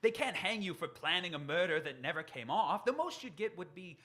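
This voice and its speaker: An actor playing an earnest, desperate writer who urgently tries to persuade a friend in a radio drama. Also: male voice, sits high, sing-song, brisk, fairly bright tone. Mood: urgent, persuasive. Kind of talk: stage radio drama acting.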